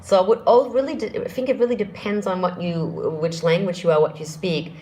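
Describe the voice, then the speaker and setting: A woman vocal coach with a deep speaking voice, answering viewer questions and demonstrating exercises in a live singing lesson. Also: animated, brisk, dark tone.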